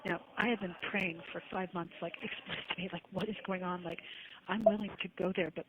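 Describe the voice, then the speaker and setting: A woman's voice: fairly low pitch, steady tone, brisk, heavy background noise. A woman on a recorded jail phone call, talking earnestly about faith and reading scripture aloud in a testifying way. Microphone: telephone handset.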